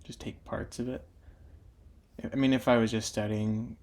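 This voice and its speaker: A man's voice: fairly low pitch, flat delivery, moderate pace, darkish tone, slight background noise. A young man talking casually while drawing for his own video channel, meandering with many fillers and restarts. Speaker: drawing YouTuber.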